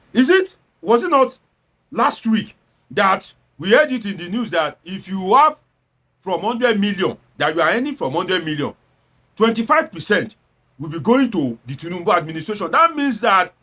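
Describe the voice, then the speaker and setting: A man's voice: high-pitched, sharp tone, slightly rough. A man with a Nigerian accent giving informal satirical political commentary, theatrical and exclamatory, slipping into Pidgin and throwing out rhetorical questions. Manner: slips between standard English and Nigerian Pidgin.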